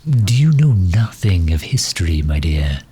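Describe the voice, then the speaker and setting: A man playing a pompous, pedantic but affable gentleman supervillain in an ASMR roleplay, with a husky, breathy voice and a wordy, condescending manner full of hesitant pauses. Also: sits low, big pitch swings, heavily acted. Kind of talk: ASMR roleplay audio drama.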